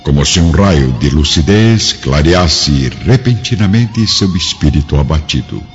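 A man narrating a radio drama in a solemn, literary, measured and dramatic style. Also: very deep voice, animated. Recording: dull radio drama audio with music.

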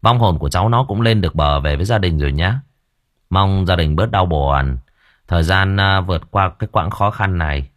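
A fretful middle-aged spirit medium, as a storyteller puts him on, speaking low and hesitantly with stammers. The voice is husky and breathy. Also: male voice, fairly quick, darkish tone, no room sound. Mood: solemn.

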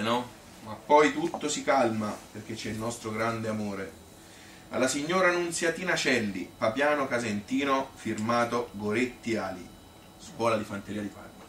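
A man's voice: medium pitch, a bit bright. Man, Tuscan accent, theatrical letter reading, roomy sound, faint noise. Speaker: stage actor. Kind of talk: theatrical monologue, reading old wartime love letters aloud. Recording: roomy, noisy, distant stage recording.

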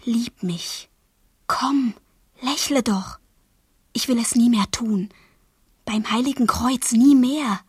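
An actress playing a passionate, wilful thirteen-year-old girl in love, in a literary radio play, with a breathy, husky, intense delivery. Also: a little high, takes it slowly, long pauses, no echo, studio quality, full character voice. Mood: pleading. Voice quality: breathy, husky, often near a whisper. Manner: intense, demanding, dramatic delivery.

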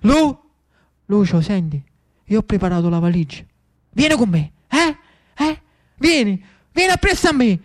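A man's voice: sits high, big pitch swings, normal speed, long pauses, dry recording, clean studio sound. A man playing an anguished, weary Neapolitan man who pleads emotionally and repetitively in a theatrical radio monologue. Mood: pleading.

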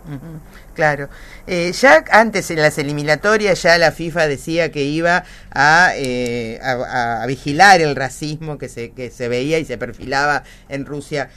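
A woman's voice: sits low, normal speed, fairly bright tone. A woman with a Latin American Spanish accent asking interview questions in a conversational, tentative way. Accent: Latin American Spanish, voseo.

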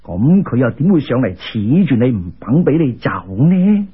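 A man playing a lofty storybook immortal, deep shifted-down voice, husky, soothing, unhurried, lo-fi radio sound. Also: very animated. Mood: solemn. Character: calm, amused, commanding male immortal guide.

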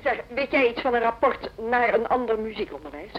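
An actress playing an indignant, long-serving music teacher, speaking formally and emphatically in a radio drama phone call. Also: female voice, fairly high pitch, animated, a little fast, a little hiss or hum, close to an everyday voice. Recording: slightly dull phone-call sound.

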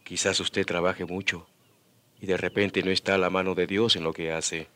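An actor playing a grave, cautioning priest in a radio drama, solemn and admonishing. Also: male voice, sits low, little pitch movement, moderate pace, sharp tone. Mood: grave warning.

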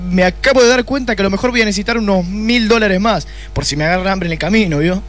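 Man acting a high-voiced sleazy Argentine detective. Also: fast talker, lightly acted. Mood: greedy excitement. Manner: pompous, florid speeches mixed with crude slang. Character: sleazy, greedy, melodramatic male private detective boss. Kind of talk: comic radio play acting.

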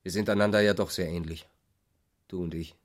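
Radio play role: man playing an earnest, pontificating husband with artistic pretensions, lowish register. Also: steady tone, fairly quick, lightly acted. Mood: earnest self-justification. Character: brooding, self-justifying husband, a blocked would-be artist. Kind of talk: radio drama dialogue.

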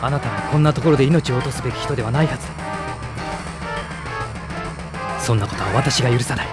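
A man's voice: mid-range voice, racing, natural voice. A male actor playing a noble young prince in a fantasy audio drama, earnest and resolute, speaking formally and with passion. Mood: earnest resolve. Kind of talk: Japanese fantasy radio drama acting. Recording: dramatic music behind the voice.

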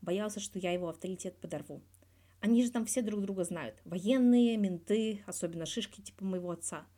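Woman playing guarded hockey star in his thirties, soft mellow timbre, wry, candid, colloquial, audio fan fiction. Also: mid-range voice, fairly quick, natural voice. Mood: reflective. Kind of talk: scripted interview in a fan fiction audio reading.

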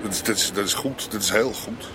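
An actor playing a brooding, philosophical drifter, speaking deliberately in a radio drama. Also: male voice, fairly low pitch, over loud music, natural voice. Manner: pensive, deliberate.

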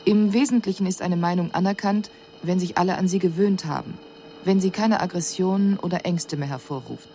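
An actress playing an extraterrestrial observer in a radio drama, reporting on human customs in a clinical, measured, matter-of-fact way. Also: female voice, lowish voice, warm tone, slight background noise, natural voice. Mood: detached, analytical.

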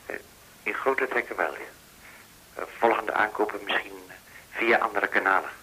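A man's voice: fairly low pitch, normal speed, bright, forceful tone, low-quality recording. An actor in a radio play playing a polite, cautious male gold dealer who speaks in clipped, hesitant phrases. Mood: guarded. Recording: hissy old radio recording.

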